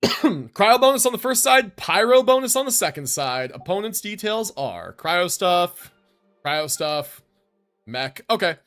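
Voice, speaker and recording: An American man chatting casually on a live game stream in an animated, slangy, joking way. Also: high voice, measured pace, faint music behind.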